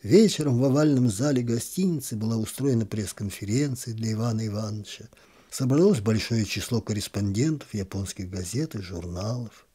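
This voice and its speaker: A man reading a literary story aloud in an expressive, gently ironic storytelling manner. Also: lowish voice, brisk, slightly rough.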